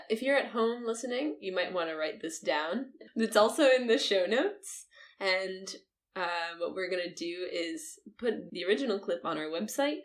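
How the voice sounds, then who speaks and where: A woman co-hosting a podcast, chatting casually in an upbeat way and laughing often. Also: on the low side. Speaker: podcast co-host. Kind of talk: casual chat.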